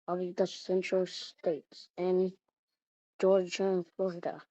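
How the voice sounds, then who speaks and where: A woman delivers a homemade-sounding emergency alert in a flat, low-pitched voice. She speaks haltingly and very slowly, repeating phrases as she goes. The recording is dull and lo-fi. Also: dry recording. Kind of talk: improvised mock emergency alert announcement. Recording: muffled lo-fi recording.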